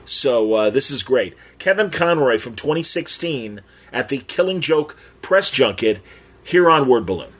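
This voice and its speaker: A man speaks as a podcast host in an unscripted style. His delivery is halting, padded with fillers as he works toward each point, at an ordinary male pitch. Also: animated, fairly bright tone, breathy edge. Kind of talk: unscripted hosting talk.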